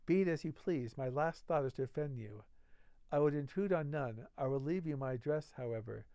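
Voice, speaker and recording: A man reading the storybook part of an esteemed doctor, flustered and evasive, in a hushed, formal, placating manner. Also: fairly high pitch, animated, a little fast, dry recording. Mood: stiff, condescending.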